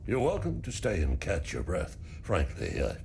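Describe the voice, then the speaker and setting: An actor playing a weary, stoic older farmer in a video game, grieving and embittered by war, speaking in a measured way. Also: male voice, sits low, wildly swooping pitch, vocal fry, darkish tone, soft background music, heavily acted. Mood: weary, sorrowful. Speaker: non-player character. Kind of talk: video game dialogue acting.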